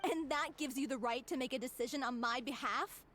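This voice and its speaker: A woman's voice: high-pitched, a little fast, a bit bright, a little hiss or hum. A woman playing a determined young stage actress who speaks firmly and insistently in video game dialogue. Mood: defiant. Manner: firm, insistent.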